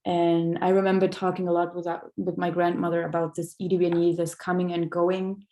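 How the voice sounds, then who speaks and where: A woman from Germany, who grew up in Berlin, gives a soft-spoken, hesitant personal reflection as a panelist in an online seminar, often searching for words. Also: lowish voice, little pitch movement, a little fast. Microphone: video call microphone.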